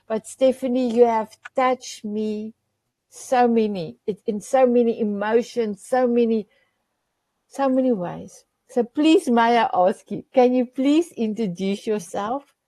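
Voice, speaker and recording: A woman's voice: on the high side, measured pace, warm tone, no echo. Effusive woman host, Afrikaans native. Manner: effusive, repeats "beautiful", searches for words. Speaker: show host. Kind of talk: casual talk show hosting.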